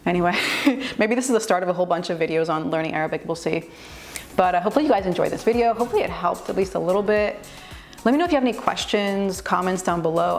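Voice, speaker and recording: Chatty woman with a gravelly low voice. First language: English. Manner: chatty, candid, laughs easily, many fillers. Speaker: vlogger and language tutor. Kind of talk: casual advice vlog.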